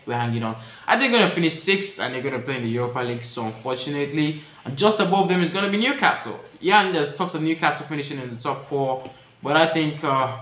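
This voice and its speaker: A man chats through his opinions in a casual vlog and talks straight to his viewers. His voice sits a little higher than most men's. The sound bounces around an echoey room. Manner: chatty, addresses viewers directly, shifts pitch and loudness often. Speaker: football vlogger.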